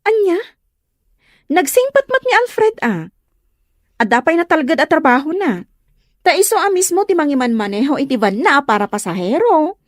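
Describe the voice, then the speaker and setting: A woman's voice: high voice, big pitch swings, moderate pace, pauses often, no room sound, clean studio sound. A woman playing a polite, nervous young friend in a comedy radio drama. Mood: earnest.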